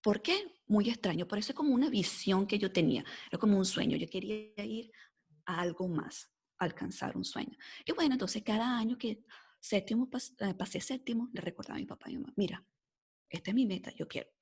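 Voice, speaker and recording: A Venezuelan woman in her forties with a mixed accent softened by life in the United States, telling her story as a podcast guest in a lively way, full of fillers like "este" and "o sea". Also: mid-range voice, a little fast, creaky, slightly rough. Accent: mixed Venezuelan Spanish, softened by years in the US. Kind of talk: casual storytelling interview.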